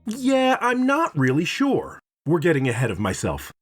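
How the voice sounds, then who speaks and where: A man reviewing a video game in scripted narration, dramatic and playful, with emphatic phrasing and drawn-out words. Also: a little high, wildly swooping pitch, slightly rough.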